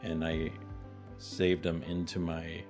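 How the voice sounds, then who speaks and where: A man in his forties, co-hosting a podcast, talking candidly and a little haltingly in casual chat. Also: deep voice, completely flat delivery, music in the background. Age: 40s. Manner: candid, hesitant with restarts. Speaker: podcast co-host.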